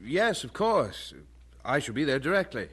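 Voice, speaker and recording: A man's voice: on the high side, big pitch swings, moderate pace. A man playing an earnest, devout young preacher in an audio drama, with formal, humble speech.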